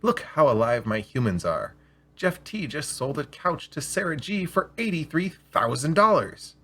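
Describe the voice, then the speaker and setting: A man's voice: fairly high pitch, very animated, normal speed, a bit bright, breathy edge, voice pushed up, heavily acted. A man voicing a boastful, showy trading AI for a story, in a grandiose, emphatic salesman manner.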